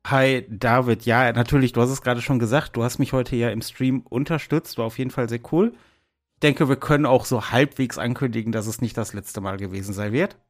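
A man's voice: mid-range voice, steady tone, fairly quick. A man chatting casually as co-host of a podcast.

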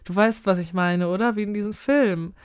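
A woman's voice: mid-range voice, a little fast, clear, resonant tone, dry recording, clean studio sound, natural voice. A woman in her twenties playing a bewildered young actress who regrets her wish, in an amateur audio drama. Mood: delighted. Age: 20s.

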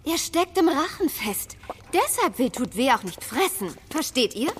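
A woman playing a clever, confident young girl adventurer in a children's audio drama, brisk and self-assured. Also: high-pitched, very animated, slight background noise. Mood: eager, plotting.

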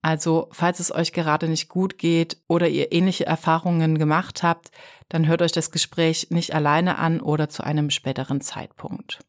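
A woman with a very low voice hosts a podcast. She reads her introduction in a measured, careful way. She then asks her guest questions in a calm tone. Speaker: podcast host. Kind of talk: scripted podcast intro and interviewing.

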